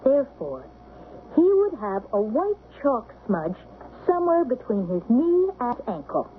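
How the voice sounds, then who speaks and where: A woman's voice: fairly high pitch, big pitch swings, a little slow. A woman playing a sheriff's keen, observant young daughter with an American accent, earnest and articulate, in radio drama style. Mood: assured. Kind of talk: radio drama acting with narration. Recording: muffled, noisy old radio broadcast.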